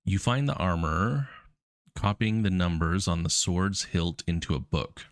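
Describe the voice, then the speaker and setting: A man's voice: sits low, measured pace, no echo, clean studio sound. A man with a cold and a cough drop in his mouth, casually reading puzzle text and thinking aloud. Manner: casual, relaxed, many ums. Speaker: coding streamer.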